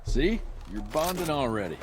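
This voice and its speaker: An actor playing a tough, threatening vampire hunter in a video game, with a sardonic, menacing delivery. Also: male voice, medium pitch, sing-song, normal speed, over music, close to an everyday voice. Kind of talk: video game cutscene acting.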